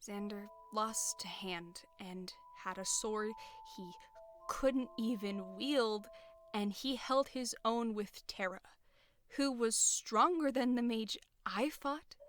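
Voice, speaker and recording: A woman's voice: a little high, takes it slowly, bright, forceful tone, a little hiss or hum. A woman playing a blunt, self-doubting female warrior in a scripted audio roleplay, informal and questioning. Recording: music behind the voice. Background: background music.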